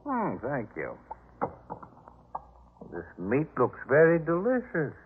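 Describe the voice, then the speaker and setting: A man's voice: on the high side, huge pitch swings, slow pace, slightly rough, heavily acted. An American man playing a proud, boastful household master in a 1950s radio drama, with a pompous, patronizing manner. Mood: condescending, genial. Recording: narrow-band vintage 1950s radio recording.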